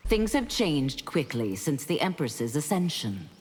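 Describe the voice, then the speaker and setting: A woman's voice: low voice, big pitch swings, a little slow, a little mellow, heavily acted. An actress playing an earnest, resolute warrior woman in a video game, speaking with formal intensity. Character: earnest, resolute female warrior seeking redemption. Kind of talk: video game character acting. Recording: long reverb with clear background noise.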